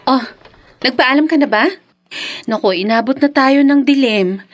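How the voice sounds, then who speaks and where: A woman plays a young Filipino mother in a radio drama. Her voice is fairly high, and she is polite, hesitant and yielding. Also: normal speed, close to an everyday voice. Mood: worried.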